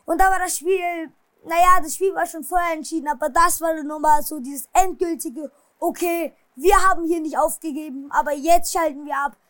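A woman's voice: very high-pitched, fairly even intonation, moderate pace, a bit bright, no echo. A woman casually recapping football matches and transfer rumours, hesitating a lot and apologizing for her pronunciation. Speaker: football news vlogger.

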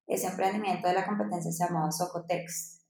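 A woman's voice: lowish voice, steady tone, fairly quick, creaky, a little mellow. A woman, telling her story as a podcast guest in a chatty way, with many fillers. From Colombia. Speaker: podcast guest, startup founder. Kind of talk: casual interview storytelling. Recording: echoey room.

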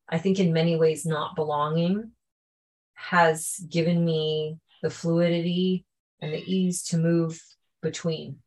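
A woman answering as a podcast guest, thoughtful and earnest, often pausing to search for words. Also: low voice. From Canada. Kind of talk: reflective interview answers.

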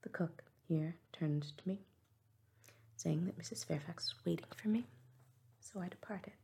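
Woman narrator, whispered audiobook reading, low-pitched, warm dark sound. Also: a little slow, creaky, a little hiss or hum. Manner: soft unvoiced whisper throughout.

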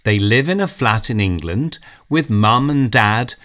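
Slow, expressive male storyteller. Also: mid-range voice. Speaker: narrator. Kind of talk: storybook reading.

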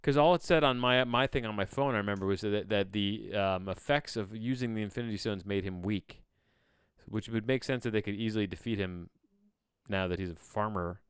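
Rambling, incredulous man with a clean, smooth voice. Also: fairly low pitch, fairly quick, dry recording, clean studio sound. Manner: rambling, incredulous, sardonic asides; casual swearing. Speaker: podcast host. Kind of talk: casual solo commentary while reading a plot synopsis aloud.

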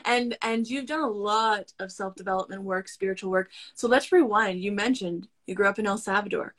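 Woman podcast host, medium pitch. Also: fairly quick. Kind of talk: casual conversational interviewing.

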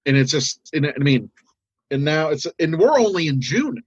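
Husky American man, dull lo-fi recording. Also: on the high side, big pitch swings, normal speed, dry recording. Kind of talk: casual conversation, earnest opinion.

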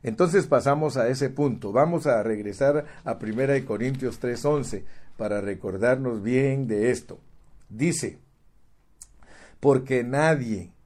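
A man's voice: medium pitch, measured pace, darkish tone. A middle-aged man preaching a sermon, emphatic, repeating words and calling the listener brother. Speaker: pastor. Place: United States.

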